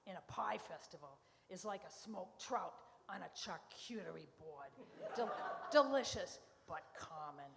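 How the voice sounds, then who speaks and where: Woman acting a creaky, blunt church lady. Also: fairly low pitch, animated, a little slow. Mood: dryly dismissive. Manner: dry, unimpressed. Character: blunt, dismissive church lady pie judge. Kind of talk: live comedy radio play acting. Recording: echoey hall with long reverb.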